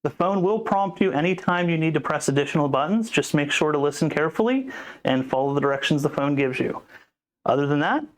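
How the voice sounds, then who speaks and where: A man's voice: fairly high pitch, animated, fairly quick. A man presenting an informal instructional walkthrough in a plain, explanatory manner. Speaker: support tutorial presenter.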